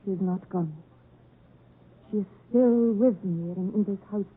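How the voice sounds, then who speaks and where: An actress playing a proud, wounded young English gentlewoman in a measured, formal, melodramatic 1950s radio drama style. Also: medium pitch, breathy edge. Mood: proud, haunted. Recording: tinny vintage 1950s radio, music behind.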